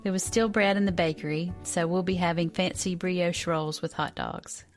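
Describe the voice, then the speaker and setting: A woman's voice: fairly low pitch, steady tone, moderate pace. A woman in her fifties from the United States reading a personal essay aloud as a scripted narration. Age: 50s. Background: music.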